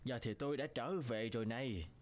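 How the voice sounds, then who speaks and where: A man voicing an easygoing, eccentric old man in a cartoon fairy tale, carefree and unhurried. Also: mid-range voice, natural voice.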